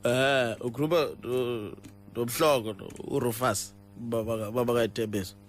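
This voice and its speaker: A man's voice: mid-range voice, fairly even intonation, normal speed, faint music behind, lightly acted. A South African Zulu man playing an indignant, stubborn father on a prank phone call, stammering and repeating himself as he argues. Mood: halting, complaining. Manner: stammering, repetitive, argumentative. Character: indignant, stubborn, rambling father complaining about his son's school. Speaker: radio prank caller.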